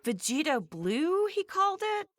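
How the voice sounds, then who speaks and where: Feisty, high-pitched anime mother. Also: big pitch swings, unhurried, a bit bright, slight background noise, full character voice. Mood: exasperated, puzzled. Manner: emphatic, folksy, exasperated. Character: feisty, strict, fretful mother of martial artists. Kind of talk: English anime game dub banter.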